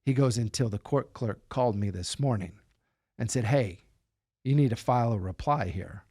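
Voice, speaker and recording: Man, lower-pitched voice, conversational storyteller, emphatic, incredulous, close dry sound. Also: moderate pace, dark tone, no room sound. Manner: emphatic, incredulous, repeats words for stress. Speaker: podcast host telling his own story. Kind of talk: conversational personal storytelling with re-enacted dialogue.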